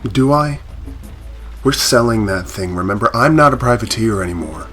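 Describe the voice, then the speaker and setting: A man's voice: on the low side, animated, moderate pace, fairly bright tone, faint music behind. A man acting in a sci-fi audio drama as an anxious, protective husband and pilot, hesitant and earnest.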